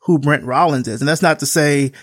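A man's voice: fairly high pitch, a little fast, fairly bright tone, no echo, studio quality. A man hosting a podcast, chatting loosely with lots of "like" fillers and telling stories with animation. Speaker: podcast host. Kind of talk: casual interview chat.

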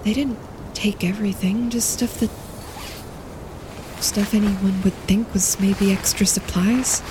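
A woman playing a practical wildlife photographer on a winter camping trip in a naturalistic audio drama, speaking in a hushed, matter-of-fact, low-key way. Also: fairly even intonation, unhurried, natural voice. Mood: uneasy, wondering. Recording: clear outdoor ambience noise behind.

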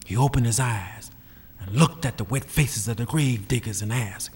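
A man narrating a radio drama in a solemn, measured way. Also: mid-range voice, a little mellow, slightly rough, big-room sound.

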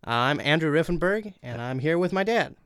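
Young man, smooth voice, dry recording, casual guest recounting. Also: a little high, expressive, a little fast, a bit bright, no room sound. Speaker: guest writer and voice actor.

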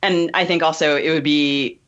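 A woman hosting a casual analytical discussion, thoughtful and halting as she works out her points. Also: deep voice, fairly even intonation, a bit bright, highs cut off. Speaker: podcast host.

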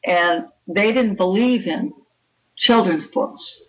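A woman's voice: medium pitch, slow pace, a bit bright. An older woman speaking conversationally as an author guest, emphatic and full of firm opinions. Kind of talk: conversational interview. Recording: muffled, distant microphone.

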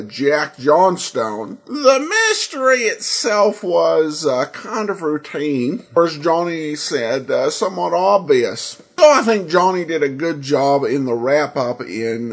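An American man hosting a podcast with informal commentary, hesitant and full of filled pauses. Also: a little high. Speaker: podcast host.

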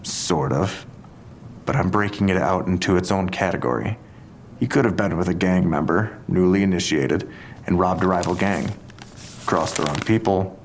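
An actor in an audio drama playing a deliberate, analytical male police detective. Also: low-pitched, a little hiss or hum. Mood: measured, speculative.

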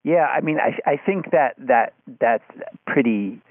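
A man being interviewed as a writer, talking thoughtfully and haltingly, repeating words as he works out his point. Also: medium pitch, expressive, no room sound. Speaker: interview guest, magazine writer. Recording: thin, phone-like line.

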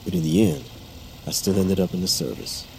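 A man's voice: deep voice, wildly swooping pitch, fairly quick, creaky, darkish tone, a little hiss or hum, heavily acted. An actor playing a brooding, middle-aged American war veteran and father who is unravelling, in an audio drama. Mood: brooding confession. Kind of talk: audio fiction drama acting. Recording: dramatic music under the voice.

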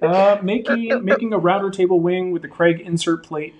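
A man in his forties co-hosting a live woodworking Q&A, giving practical advice in a relaxed, folksy way. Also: sits high, moderate pace. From Denver area. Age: 40s. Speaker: woodworking show co-host. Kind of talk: live-stream Q&A, casual chat.

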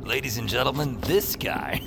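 A man's voice: medium pitch, normal speed, creaky, lightly acted. An actor playing a cocky, battered fantasy hero who narrates his own exploits in casual, sarcastic asides for an audio drama. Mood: wry, cocky. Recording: loud music and effects behind.

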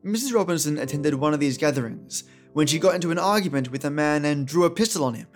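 A man hosting a podcast, giving scripted narration and commentary in a solemn, deliberate storytelling manner. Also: fairly high pitch, expressive. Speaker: podcast host. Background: soft plucked guitar music.

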